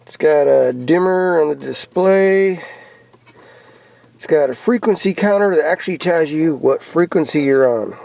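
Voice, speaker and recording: A man's voice: a little high, unhurried, vocal fry, darkish tone, dry recording. An American man giving a casual, rambling hobbyist show-and-tell in a plainspoken, folksy, laid-back way. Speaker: hobbyist vlogger showing off CB radio gear. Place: in or around a pickup truck. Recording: noisy handheld camera recording with clicks.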